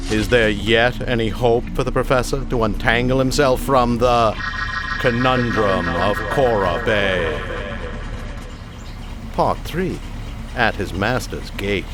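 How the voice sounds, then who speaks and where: A man narrates an old-time radio drama with ornate, theatrical flourish. His pitch rises and falls dramatically, and loud music plays behind him. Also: animated, a little slow.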